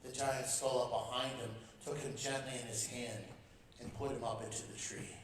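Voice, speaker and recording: A man's voice: mid-range voice, level tone, normal speed, slight background noise. A man reading a storybook aloud to a church congregation as guest speaker, telling it expressively and without hurry. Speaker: guest speaker at a parish mission. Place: large church. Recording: echoey church heard from far off.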